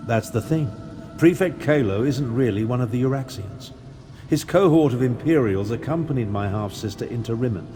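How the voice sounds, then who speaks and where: An actor playing a clever, secretive royal spellcaster in a video game, articulate and confiding with some urgency. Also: male voice, mid-range voice, natural voice. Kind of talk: video game character dialogue. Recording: music clearly behind the voice.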